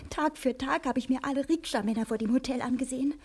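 Anxious wife, high-pitched, husky, warm dark tone, plaintive sighs, reproachful, radio drama. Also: female voice, fast. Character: worried, reproachful wife of a fugitive thief. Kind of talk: German radio crime-comedy serial acting.

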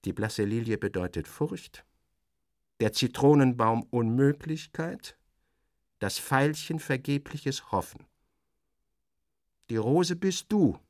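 A man's voice: medium pitch, lively intonation, slow, pauses often, a little mellow, no echo, voice pushed up. A male narrator plays a courteous, businesslike journalist in an audio drama. The man speaks with formal politeness and careful phrasing, in a very dry, close sound. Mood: courting, poetic.

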